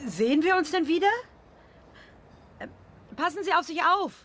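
An actress playing a concerned but wary passer-by, asking practical questions in naturalistic radio drama dialogue. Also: female voice, very high voice, expressive, measured pace, a bit bright, a little hiss or hum, exaggerated voice.

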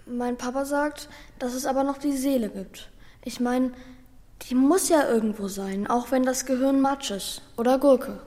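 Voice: sits high, steady tone, normal speed, darkish tone, dry recording, close to an everyday voice. A young performer playing an earnest, worried boy who narrates his own story in a German children's radio drama. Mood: hopeful, insistent. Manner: earnest, plain-spoken, childlike.

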